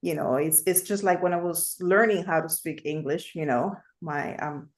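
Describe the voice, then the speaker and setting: A woman's voice: deep voice, normal speed. A woman from Puerto Rico, a native Spanish speaker with a Puerto Rican accent, speaking earnestly as a guest and repeating phrases for emphasis. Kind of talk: casual livestream chat.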